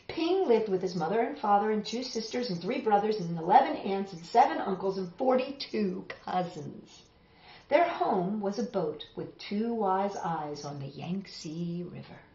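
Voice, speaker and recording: A middle-aged woman hosts a children's storytime in a roomy, slightly muffled home recording. Her reading is expressive, with a lively pitch that rises and falls widely. She speaks directly to young listeners in a dramatic storytelling manner. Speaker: children's storytime host and reader. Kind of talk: expressive picture-book read-aloud for children. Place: small home room, indoors, seated on a sofa. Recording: roomy, slightly dull home video sound.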